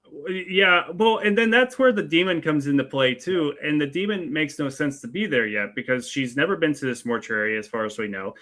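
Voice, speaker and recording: A man in a casual film review conversation, explaining things in a halting way and circling back as he goes. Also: a little high, sharp tone.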